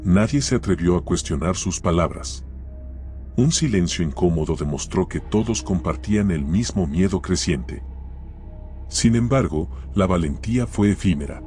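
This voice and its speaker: A man with a very low voice narrates a scripted horror tale in the role of storyteller. Music plays clearly behind his narration. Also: lively intonation, normal speed, darkish tone. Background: background music.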